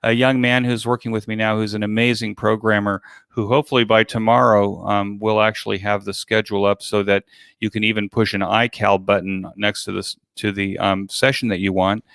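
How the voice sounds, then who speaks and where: An older man talks as a guest in a casual interview, his voice fairly low with a strong creak. He phrases things haltingly, doubling back on words. The sound is close and dry. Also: little pitch movement, fairly bright tone, no echo. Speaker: guest, virtual expo organizer.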